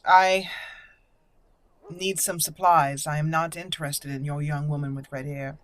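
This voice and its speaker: A woman's voice: deep voice, steady tone, unhurried, voice pushed down. A woman roleplaying a proud exiled elf mage in a game, speaking in formal, stiff wording. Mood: curt.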